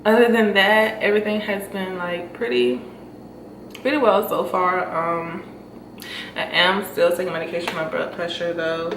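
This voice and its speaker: A woman's voice: lowish voice, normal speed. Chatty young woman, stuffy and breathless. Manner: chatty, lots of fillers and laughs. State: stuffy nose, short of breath. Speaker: vlogger. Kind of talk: casual chat to camera. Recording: echoey room, mic at a distance, noisy.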